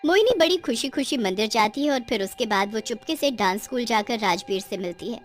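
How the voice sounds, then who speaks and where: A woman's voice: on the high side, lively intonation, fairly quick, faint music behind. A woman narrating a scripted cartoon story in a dramatic storytelling style.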